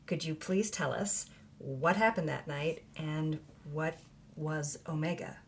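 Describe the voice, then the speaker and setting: A woman's voice: low voice, slow, a little hiss or hum. An actress playing an eager, curious woman putting questions in an audio drama interview.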